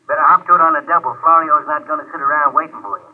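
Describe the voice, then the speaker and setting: A man's voice: on the high side, fairly even intonation, rapid-fire. An actor in a 1950s radio drama playing an anonymous male caller who disguises his voice, speaking curt and evasive street talk over a telephone. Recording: tinny phone-line 1950s radio.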